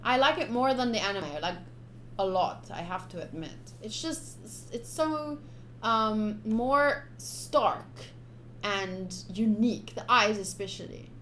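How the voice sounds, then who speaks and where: A woman's voice: medium pitch, animated, slow, fairly bright tone, a little hiss or hum. A young woman streaming a game, informal and chatty, switching between casual commentary and reading aloud.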